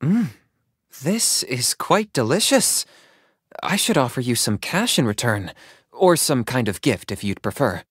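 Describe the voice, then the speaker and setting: Cool, dry young hunter, close studio sound. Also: male voice, medium pitch, a little slow, husky, no room sound. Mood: dry, matter-of-fact. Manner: deadpan, matter-of-fact, composed. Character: calm pragmatic young male hunter, businesslike, dry. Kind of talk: video game character voice acting.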